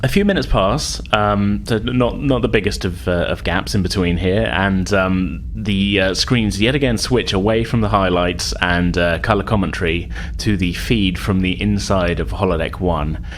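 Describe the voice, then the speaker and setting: Man, deep voice, game master, loose conversational narration, faint background noise. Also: normal speed, few pauses. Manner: casual, loose conversational delivery. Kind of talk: improvised tabletop game narration.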